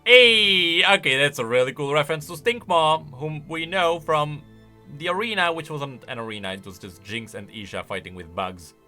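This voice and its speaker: A man's voice: fairly high pitch, moderate pace, bright, forceful tone, soft background music. A man giving casual, enthusiastic reaction commentary, explaining game lore as he goes.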